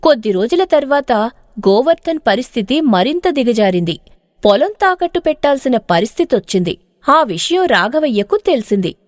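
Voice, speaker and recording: A woman reads a scripted moral tale as storyteller. Her voice is very high with animated pitch movement. The recording is dry and close. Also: normal speed, dry recording.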